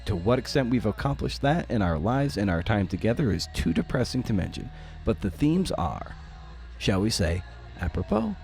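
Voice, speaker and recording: A man's voice: on the low side, sing-song, moderate pace, over music. A middle-aged man hosting a podcast in a conversational style, with a wry, self-deprecating manner. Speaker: podcast host and interviewer. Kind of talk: conversational podcast intro and interview.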